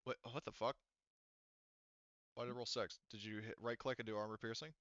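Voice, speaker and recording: A man's voice: mid-range voice, level tone, a little fast, fairly bright tone, no room sound, muffled recording. A man running a tabletop role-playing game as game master, talking rules casually and swearing freely.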